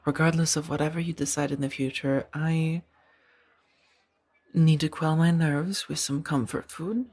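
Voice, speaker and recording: A woman acting in a soft-spoken ASMR roleplay as a kind, conflicted former warrior monk turned farmer, hesitant and earnest. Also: low voice, fairly even intonation, a little slow. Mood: warm, bashful.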